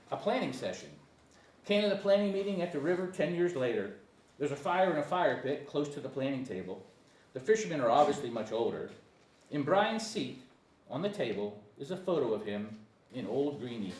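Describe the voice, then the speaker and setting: A man's voice: a little high, warm tone. A man reading screenplay stage directions aloud as narrator at a table reading, occasionally stumbling over words. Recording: echoey room, distant mic, faint noise.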